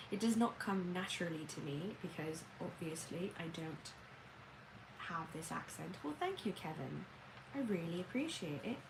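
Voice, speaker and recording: A woman's voice: on the low side, vocal fry, background noise. A young woman from Canada hosting a casual late-night live stream, chatting playfully with viewers while she eats at home. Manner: chatty, playful, hums and sings snatches. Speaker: livestream host.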